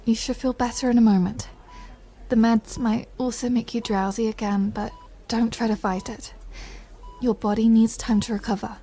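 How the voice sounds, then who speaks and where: A woman playing a gentle, patient recovery-room clinician, speaking softly in an ASMR roleplay. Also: on the high side, fairly even intonation, normal speed. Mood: soothing. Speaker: voice actor in an audio roleplay. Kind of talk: soft ASMR comfort roleplay.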